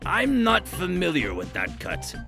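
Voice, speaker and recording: A gentle, befuddled old cartoon tinkerer. His delivery swoops widely and takes its time. He is polite and bumbling, easily puzzled. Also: sits high, huge pitch swings, unhurried, gravelly, exaggerated voice. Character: amnesiac older male tinkerer, gentle and befuddled. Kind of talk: comic dub character acting. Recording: faint music behind the voice.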